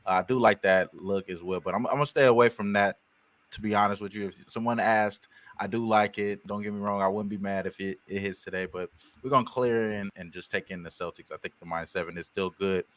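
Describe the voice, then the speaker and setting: A man's voice: on the low side, fairly even intonation, brisk, fairly bright tone, dry recording. A man on a sports betting panel, casually chatting through his picks.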